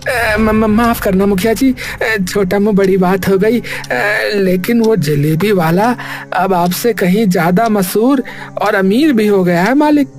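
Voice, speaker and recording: A hesitant village servant from a cartoon, acted by a man, who stumbles over his words and fills pauses with stammers. Soft music plays faintly behind. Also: sits high. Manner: hesitant, full of stammers and fillers. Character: cheerful jalebi seller, stammering servant. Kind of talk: cartoon voice acting.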